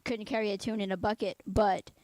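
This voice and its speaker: A woman's voice: mid-range voice, fairly even intonation, a little fast, darkish tone, no room sound. A woman in her twenties interviewing a guest in a friendly, chatty way, rambling a little. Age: 20s. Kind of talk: casual interview.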